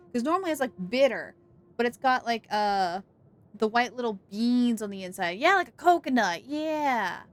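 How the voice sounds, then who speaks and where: A woman from the United States, streaming a game and chatting casually, full of "like" and quick asides. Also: fairly high pitch, a bit bright. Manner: chatty, with many "like"s. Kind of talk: casual chat while playing.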